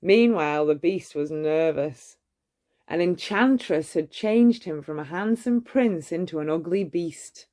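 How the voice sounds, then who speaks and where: A woman reading a bedtime story aloud in an expressive, measured way. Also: on the low side.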